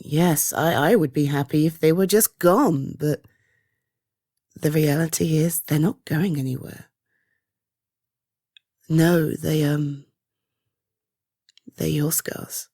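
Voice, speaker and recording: Casting: actress playing caring British woman for intimate ASMR roleplay; low, mellow, halting, reassuring delivery. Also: fairly even intonation, no room sound, studio quality, exaggerated voice. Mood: gentle reassurance. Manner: hesitant, soft reassuring, halting pauses. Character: caring, reassuring woman comforting a loved one. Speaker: voice roleplay performer. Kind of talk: ASMR roleplay, one-sided intimate conversation.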